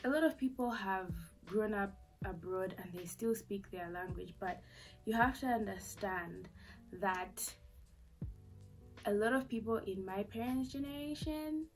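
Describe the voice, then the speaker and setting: A woman's voice: medium pitch, low-quality recording. Chatty Zambian-accented woman vlogging. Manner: chatty and candid, lots of fillers and asides. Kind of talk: casual storytelling to camera. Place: small bedroom indoors. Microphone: camera in front at desk distance.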